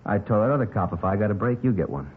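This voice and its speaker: A man's voice: lowish voice, animated, fast, lightly acted. A man with an American accent playing a cagey, insolent small-time crook in a 1950s radio drama, curt, evasive and smart-mouthed. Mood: defiant, evasive. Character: cagey, insolent petty crook, male suspect under questioning. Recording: tinny, hissy 1950s radio recording.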